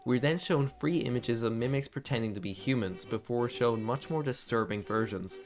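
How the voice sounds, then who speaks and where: A man narrating a scripted video essay in an expressive, informal and confiding way, with casual asides. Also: medium pitch, normal speed, soft background music.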